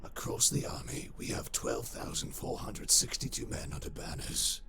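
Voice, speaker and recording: A man playing a dour renegade military officer in an audio drama, gravelly, dry and matter-of-fact. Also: normal speed, heavy background noise, lightly acted. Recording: music under the voice. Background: dramatic music.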